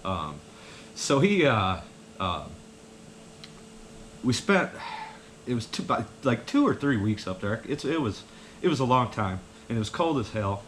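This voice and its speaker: A man's voice: mid-range voice, sing-song, measured pace, pauses often, a bit bright. Male casual storyteller, mid-range voice, loose folksy delivery, light ambient noise behind. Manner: folksy, meandering, chuckles at own stories. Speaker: vlogger telling personal stories.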